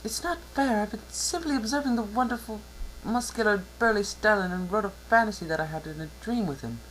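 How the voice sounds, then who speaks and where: A man's voice: high voice, expressive, brisk, a bit bright, a little hiss or hum, pitched above the performer's own voice, low-quality recording. A man voicing a tearful, indignant younger-sister pony princess in a dramatic reading, with a plaintive, wailing manner. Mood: upset, indignant.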